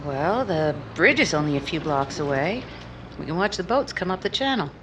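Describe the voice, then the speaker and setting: Wry, probing leading lady played by an actress, expressive mid-century radio romance delivery, animated intonation, clear boat noise behind. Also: lowish voice, normal speed. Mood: guarded. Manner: short, pointed questioning. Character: candid, probing, wry single woman named Jenny. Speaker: actor in an audio drama. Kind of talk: 1950s romantic radio drama acting. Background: boat and water sound effects.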